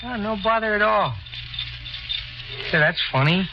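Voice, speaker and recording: A man's voice: sits high, expressive, unhurried. An actor playing a cocky, teasing young man with a menacing edge, in 1940s radio acting. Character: smooth menacing young man, carnival charmer. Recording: noisy lo-fi 1940s radio broadcast.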